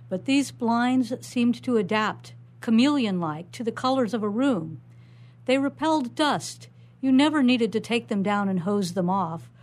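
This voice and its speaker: A woman author reading her own first-person short story aloud. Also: mid-range voice.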